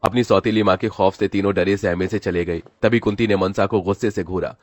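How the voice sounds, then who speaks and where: A man's voice: sits low, steady tone, a little fast. A man narrating a scripted dramatic story as its storyteller.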